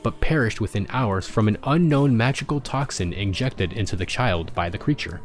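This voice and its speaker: A man's voice: fairly low pitch, lively intonation, normal speed, faint music behind, lightly acted. Formal clinical doctor, read by a man. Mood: clinical, grave.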